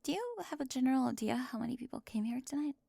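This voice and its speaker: Woman acting a high-voiced, flustered bossy teenage girl. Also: lively intonation, dark tone, no room sound, studio quality, exaggerated voice. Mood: exasperated, animated. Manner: halting, often dropping into breathy whispers. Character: talkative, bossy, flustered high school girl who plans everything. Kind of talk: ASMR roleplay acting.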